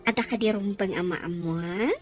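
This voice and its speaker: A woman's voice: mid-range voice, normal speed, faint music behind, lightly acted. A woman acting a cheerful, nosy, teasing friend in a radio drama, with an Ilocano accent. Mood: teasing, gleeful.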